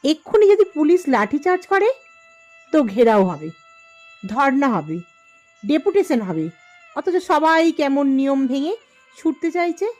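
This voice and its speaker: A woman's voice: high voice, very animated, a little slow, pauses often, a little mellow, faint music behind. A woman playing an observant, critical wife in an audio drama, speaking in an indignant, emphatic manner. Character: Saraswati, observant, critical wife on a car journey.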